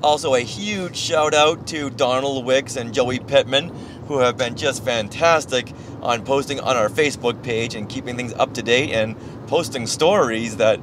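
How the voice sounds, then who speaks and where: A man's voice: medium pitch, moderate pace, background noise. A man with an American accent co-hosting a podcast in casual, chatty style. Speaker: podcast co-host. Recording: music behind the voice. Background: music.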